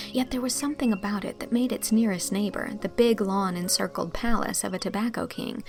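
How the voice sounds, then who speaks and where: Creaky-edged woman narrating an audiobook. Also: mid-range voice, a little mellow.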